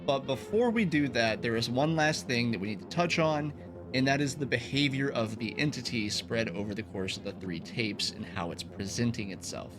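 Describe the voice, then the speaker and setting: Guy doing scripted video essay narration, explaining theories, mid-pitched voice, music clearly playing behind him. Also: normal speed. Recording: voice over background music. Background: background music.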